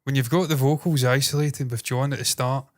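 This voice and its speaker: A man in his thirties with a nasal Glaswegian accent, co-hosting a casual podcast chat, animated and swearing freely. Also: mid-range voice, fairly quick. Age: 30s. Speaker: podcast co-host.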